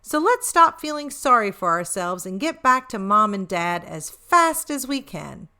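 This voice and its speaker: A woman's voice: medium pitch, big pitch swings, measured pace, pushed and bright, heavily acted. A woman voicing a loyal young beagle pup in a storybook, earnest and encouraging.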